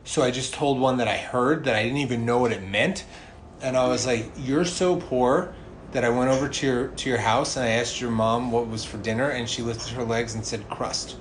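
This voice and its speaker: A man's voice: medium pitch, fairly even intonation, normal speed. A man in his thirties chatting casually and telling personal stories as a podcast co-host. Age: 30s. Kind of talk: casual chat and personal storytelling. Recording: clearly noisy background ambience.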